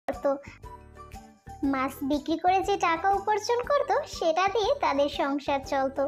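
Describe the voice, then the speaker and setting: A woman narrating a scripted fairy tale for children as a storyteller. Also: extremely high pitch.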